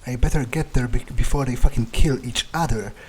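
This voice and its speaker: A man's voice: medium pitch, a little fast, a little hiss or hum. A man giving in-character commentary on a video game, thinking aloud in a blunt, muttering, profane way. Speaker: gamer playing in character. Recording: voice over game background music. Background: music.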